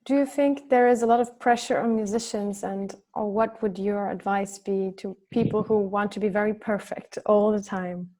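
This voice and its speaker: A woman's voice: medium pitch, steady tone, normal speed, hardly any pauses, a little mellow. A woman interviewer who asks questions and rephrases them as she goes.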